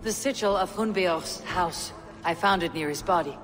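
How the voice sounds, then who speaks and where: Woman playing a low-voiced, stern Viking warrior woman. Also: lightly acted. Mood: grave, blunt. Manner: measured, blunt, commanding. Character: stern, battle-hardened Viking warrior woman, commanding and blunt. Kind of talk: video game cutscene acting. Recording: reverberant hall sound under music.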